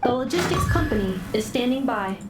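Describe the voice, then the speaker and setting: A woman's voice: on the high side, lively intonation, a little fast, lightly acted. A woman voicing a flying female robot courier who speaks in a clipped, formal report style. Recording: game audio with reverb over music.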